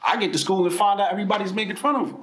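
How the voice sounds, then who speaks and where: A man from New Jersey giving a personal storytelling speech at a political mixer, animated and profane, swinging between loud bursts and quiet asides. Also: high-pitched, rapid-fire, slight background noise. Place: event room. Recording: echoey room with faint ambience.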